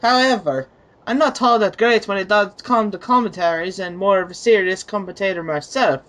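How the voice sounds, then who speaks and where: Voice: mid-range voice, moderate pace, fairly bright tone. A person reading written comments aloud as part of a group dramatic reading.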